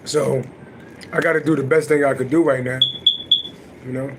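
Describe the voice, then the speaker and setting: A middle-aged man with a naturally raspy voice, chatting loosely and profanely on a livestream and answering viewers' comments. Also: steady tone, moderate pace, heavy background noise. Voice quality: deep voice. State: voice a little worn from singing. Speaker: livestream host and astrology reader. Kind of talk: casual livestream chat.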